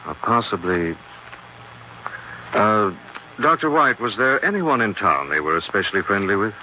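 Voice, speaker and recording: An actor playing a suave gentleman detective with an American accent and a polished, insinuating delivery in a 1940s radio drama. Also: on the low side, very animated, moderate pace. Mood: probing, polite. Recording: dull, hissy 1940s radio recording.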